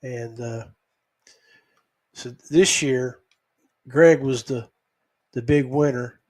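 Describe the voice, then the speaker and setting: A man hosting a podcast, giving a casual, unscripted recap in a halting, folksy, conversational way. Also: mid-range voice, fairly even intonation, a little mellow. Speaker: podcast host.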